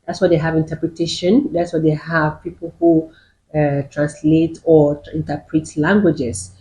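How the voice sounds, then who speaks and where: A woman's voice: deep voice, expressive, normal speed, warm tone. Female panel guest, firm and explanatory, echoey room. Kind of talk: panel discussion.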